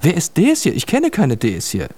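Man as unsure, high-pitched telephone stranger. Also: wildly swooping pitch, measured pace, darkish tone, slight background noise. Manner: questioning, unsure. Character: confused, hesitant stranger on the telephone. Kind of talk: storybook radio drama character.

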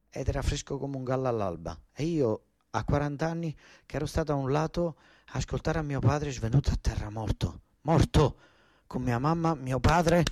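A man's voice: a little high, no echo, clean studio sound. A man with a southern Italian accent narrating a theatrical first-person monologue, colloquial and repetitive, with dialect words. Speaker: actor, storyteller.

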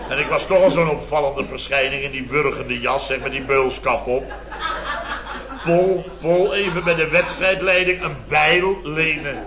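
Guy doing a pompous blustery dutch boss ranting in an old radio comedy, highish voice, dull echoey sound. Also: unhurried, crowd noise, lightly acted. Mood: indignant storytelling. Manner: indignant, rambling storytelling with tag words. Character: blustering, self-important male building-firm boss. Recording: dull, echoey vintage radio recording with audience.

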